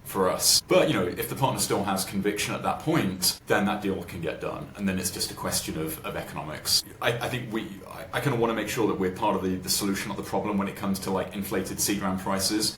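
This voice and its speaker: A man's voice: low voice, fast talker, few pauses, fairly bright tone. A man giving informal, colloquial interview answers as an investor guest, explaining things in a conversational way. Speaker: venture capital investor, podcast guest. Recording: roomy echo with clear background noise.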